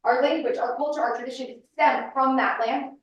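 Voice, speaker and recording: A woman's voice: high-pitched, fairly even intonation, normal speed, highs cut off. A woman, giving an emphatic, insistent public lecture to a room full of people. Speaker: speaker. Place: large room with audience. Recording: echoey hall, mic at a distance.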